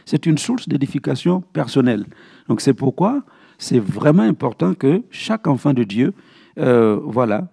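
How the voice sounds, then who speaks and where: A man's voice: medium pitch, creaky, dark tone, slightly rough. A man speaking as a pastor, teaching a Bible study in a didactic way and repeating key phrases for emphasis. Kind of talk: Bible teaching with verse citations.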